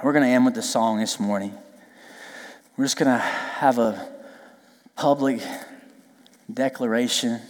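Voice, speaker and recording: A male pastor preaching a lively, joking sermon, then leading prayer and worship while losing his voice. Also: mid-range voice, unhurried, long pauses, big-room sound. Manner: lively, joking, emphatic preaching with call-and-response asides. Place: church sanctuary.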